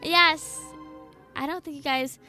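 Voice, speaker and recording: A woman's voice: high voice, fairly even intonation, slow pace, sharp tone. A woman streaming a video game, chatting casually with viewers in a playful, teasing way. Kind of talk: casual live stream chat while playing. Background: game music.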